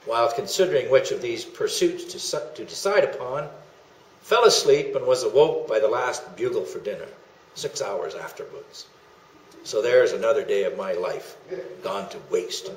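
Older male reader, medium pitch, dramatic reading of period documents, roomy echo. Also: lively intonation, moderate pace. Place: indoor meeting room. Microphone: camera a few feet away. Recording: echoey room.

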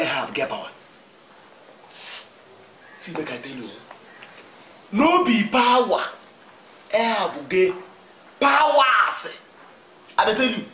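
A man's voice: mid-range voice, fairly bright tone, slight background noise, close to an everyday voice. A man with a Nigerian accent playing a scheming, commanding church leader in a film. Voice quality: commanding, threatening. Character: scheming middle-aged male church leader. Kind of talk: Nigerian Pidgin film acting. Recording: echoey room with music.

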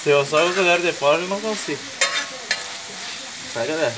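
A man's voice: a little high, brisk. A man with a southern Brazilian accent giving a colloquial, jokey, explanatory cooking chat as a home cook. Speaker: home cook presenting a recipe. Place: home kitchen beside a sizzling pan. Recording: loud frying sizzle and stirring noise.

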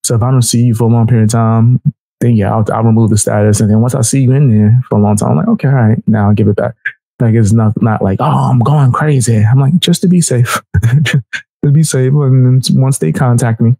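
A man gives casual reaction commentary as a show host. His voice is mellow and slightly husky, with a dry, close sound. He talks in slang and with a mocking edge. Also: medium pitch, steady tone, fairly quick, no echo.

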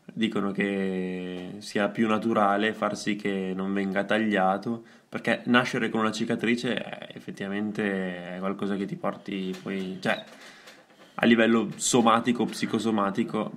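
A man in his twenties chatting casually as a radio co-host, in a halting, reflective way. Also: on the low side, flat delivery, a bit bright. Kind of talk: casual chat.

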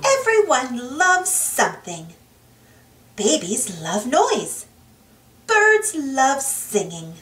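A woman reading a children's storybook aloud in an expressive, singsong way. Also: high-pitched, extremely slow. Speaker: storybook reader, channel host. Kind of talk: children's read-aloud narration. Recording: roomy, distant mic, soft music behind.

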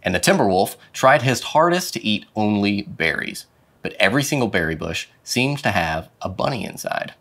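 Animated, low-voiced man reading a storybook. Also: normal speed. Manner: dramatic, animated phrasing. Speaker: storyteller reading a children's picture book. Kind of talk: expressive storybook read-aloud. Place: indoor studio with plain dark backdrop.

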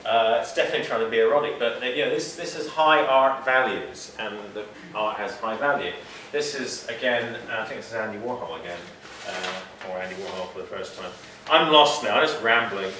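A man's voice: fairly low pitch, a little fast, noisy background. A man in his forties from England giving an informal, rambling, self-deprecating talk about his artwork to an audience in a small gallery, recorded from a distant camera. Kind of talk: informal public talk with slides. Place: small dim gallery room. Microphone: far camera, no mic. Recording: roomy echo from far off.

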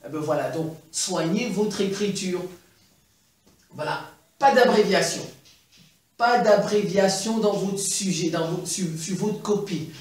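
A middle-aged man with a Central African French accent giving an animated chalkboard lesson, repeating points with many fillers. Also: high voice, unhurried, recorded from a distance. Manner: animated, gesturing, repeats points with frequent "eh ben, voilà". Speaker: teacher. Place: classroom in front of a chalkboard. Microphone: far camera. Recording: very echoey room, voice from afar.

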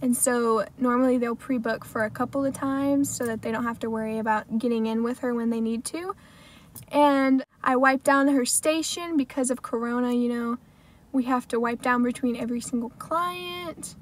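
A woman's voice: a little high, steady tone, moderate pace. A woman in her twenties with an American accent talks casually to camera in a car, in a chatty way peppered with "like". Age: 20s. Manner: chatty, frequent "like" as filler. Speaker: salon assistant vlogger. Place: inside a parked car.

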